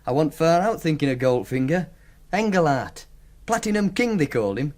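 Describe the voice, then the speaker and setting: Man acting as teasing Yorkshire gambler, higher-pitched male voice, animated, chatty storyteller, radio drama. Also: moderate pace, close to an everyday voice. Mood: nostalgic, wistful. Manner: colloquial, storytelling, needling. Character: cocky, teasing Yorkshire racing punter running a betting scheme. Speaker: actor.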